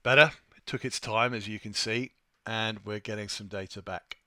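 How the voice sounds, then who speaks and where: A man presenting an informal software tutorial, thinking aloud in a somewhat hesitant way as he demonstrates. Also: lowish voice, fairly even intonation, normal speed, no echo, studio quality. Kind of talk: informal screen-share walkthrough.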